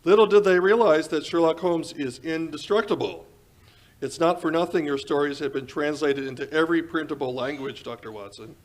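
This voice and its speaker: An actor playing an eager 1940s radio announcer and sponsor pitchman, polished and emphatic in his delivery. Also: a little fast, natural voice. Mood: admiring. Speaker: announcer and host.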